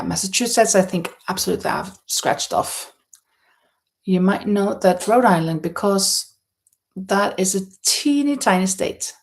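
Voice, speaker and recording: A woman from Norway with a Norwegian accent, hosting a casual livestream and chatting in a rambling, hesitant way as she reads out viewer comments. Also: mid-range voice. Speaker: livestream host. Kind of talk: casual chat while knitting, reading out viewer comments. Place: indoors at home in a holiday stay.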